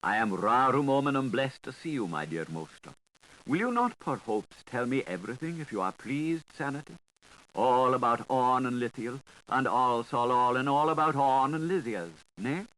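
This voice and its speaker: A man's voice: mid-range voice, very animated, normal speed, a bit bright, poor audio. A man voicing a meek, fawning talking creature with a thin, whining, pleading delivery in an audiobook. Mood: fawning, inquisitive. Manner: obsequious, pleading.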